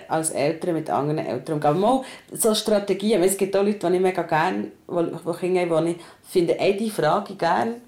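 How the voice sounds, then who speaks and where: A woman's voice: on the low side, darkish tone. A woman with a Swiss German accent hosting a podcast about parenting in a candid, colloquial, conversational way.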